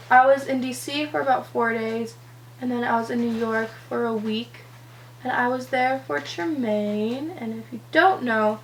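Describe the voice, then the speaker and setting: A teenage girl with a slightly raised pitch, a little hoarse from a cold, talking through her makeup in a loose, rambling way, full of "um" and "like". A faint hum sits under her voice. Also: a bit bright. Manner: rambling, lots of "um" and "like". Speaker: beauty vlogger. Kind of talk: chatty get-ready-with-me vlog.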